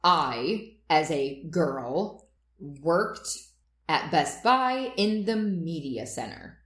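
A young woman co-hosting a podcast in casual chat, animated, laughing often and swearing freely. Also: sits low, very slow, echoey room. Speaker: podcast co-host.

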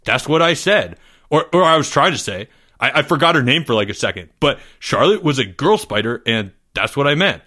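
Man acting a cocky, dim schoolboy, bright and animated. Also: medium pitch, a little fast. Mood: defensive. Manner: hesitant, stumbling, slangy, overconfident. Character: cocky, dim schoolboy bluffing his way through.

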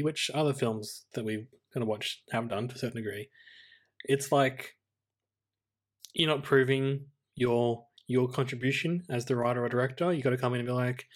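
Thoughtful, tentative man. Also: mid-range voice. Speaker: podcast co-host. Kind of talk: casual film review chat.

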